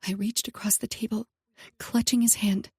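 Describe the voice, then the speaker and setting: A woman narrating a romance audiobook in first person, soft and emotional. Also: mid-range voice, level tone, normal speed, breathy edge, no room sound, studio quality.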